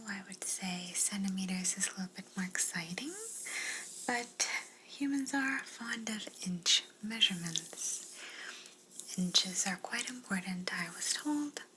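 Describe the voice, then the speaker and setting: A woman playing a curious, politely condescending alien examiner in a sci-fi ASMR roleplay, whispering softly and fussily, repeating words soothingly. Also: medium pitch, a little hiss or hum, poor audio. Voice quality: soft, breathy whisper. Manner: slow, gently fussy, clinical, repeats words soothingly.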